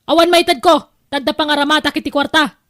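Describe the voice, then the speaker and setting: A woman with a Filipino accent playing a stingy, sharp-tongued aunt who scolds in an audio drama. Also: high voice, fairly even intonation, fast talker, no echo, clean studio sound. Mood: scolding, indignant. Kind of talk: Ilocano audio drama acting.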